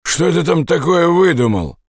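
A man voicing a surly, drunk man in an audiobook, calling out loudly and mockingly. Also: fairly high pitch, big pitch swings, normal speed, vocal fry, no echo, clean studio sound, voice pushed up, full character voice. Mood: suspicious. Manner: loud, calling out.

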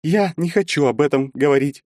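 A man playing a young woman, high and tearful. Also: very animated, brisk, mellow, slightly rough, no echo, studio quality. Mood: cold. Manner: clipped, then sobbing pleas. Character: proud young woman, distraught and ashamed.

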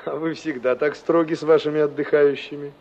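An actor in a Soviet radio play as a pensive young engineer, musing and questioning. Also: male voice, a little high, steady tone, fairly quick, darkish tone, slight background noise, natural voice. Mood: curious. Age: young adult. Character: pensive young engineer asking after a girl he knew. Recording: dull old radio drama recording.

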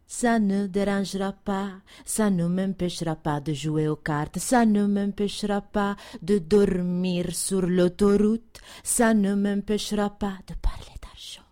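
Slow-paced woman half-singing, half-speaking. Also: mid-range voice, steady tone, dark tone. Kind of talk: slow half-sung, half-spoken song performance.

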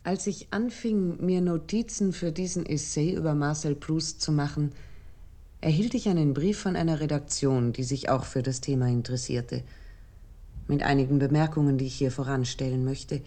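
A woman performs a thoughtful essayist in a radio play, with a low-pitched voice and a dark, soft tone. She reads scripted literary prose formally and deliberately, setting out each thought with measured seriousness. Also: lightly acted. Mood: measured, serious. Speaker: narrator.

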